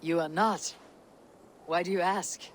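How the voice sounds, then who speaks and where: A woman's voice: on the low side, fairly even intonation, a little hiss or hum, natural voice. A woman playing a proud, stoic Viking warrior leader in a video game, speaking tersely and plainly. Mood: calm, reserved. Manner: terse, measured, matter-of-fact. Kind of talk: video game character acting. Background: game music.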